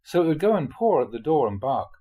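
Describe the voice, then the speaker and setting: A man reading a spiritual talk aloud in a measured, explanatory way, often posing rhetorical questions. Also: on the high side, very animated, warm tone. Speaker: monk reading dharma talks aloud.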